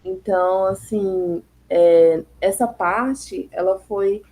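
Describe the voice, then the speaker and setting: A woman's voice: medium pitch, slow pace, dark tone, poor audio. A young woman student presenting her group's project in class, hesitant and full of fillers. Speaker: architecture student.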